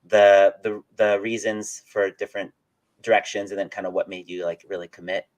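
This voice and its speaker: A man's voice: on the low side, flat delivery, normal speed. A man hosting a casual live interview, asking questions in a loose, roundabout, hedging way. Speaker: interviewer, channel host.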